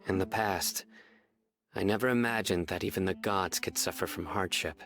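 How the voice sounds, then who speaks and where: A man's voice: low voice, moderate pace, a bit bright, soft background music. A man playing a grave, resolute young warrior in an anime game, terse and solemn. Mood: pensive.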